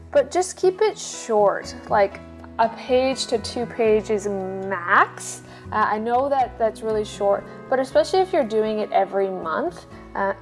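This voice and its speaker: A woman talks as a guest on a podcast, giving informal explanations and advice. Her pitch is average for a woman, with soft music in the background.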